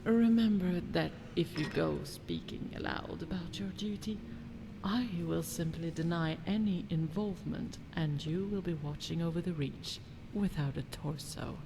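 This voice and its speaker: A woman's voice: on the low side, unhurried, background noise. An actress playing a haughty, scheming fantasy noblewoman in a video game, with imperious, formal, disdainful diction. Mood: conspiratorial, threatening. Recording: music behind the voice.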